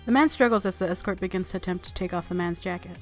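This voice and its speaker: A woman's voice: on the low side, quick pace. Playful woman narrating a game over music. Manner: playful, giggly commentary. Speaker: let's play YouTuber. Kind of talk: reading game narration aloud with casual reactions.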